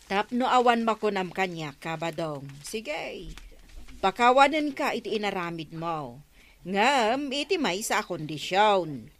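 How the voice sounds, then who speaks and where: A woman's voice: medium pitch, expressive, unhurried, lightly acted. A woman with an Ilocano accent playing a stern, aggrieved village woman who firmly demands payment in a radio comedy drama. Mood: stern demanding. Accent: Ilocano, Philippines.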